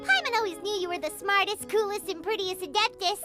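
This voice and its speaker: A woman's voice: very high-pitched, quick pace, loud music behind the voice. A woman voicing a tiny, greedy and cheeky female fairy companion who talks about herself in the third person. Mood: flattering.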